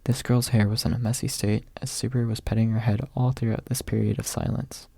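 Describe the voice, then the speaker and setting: A man reading an audiobook in a soft ASMR narration style. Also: on the low side, little pitch movement, fairly quick, vocal fry, slightly rough, no echo.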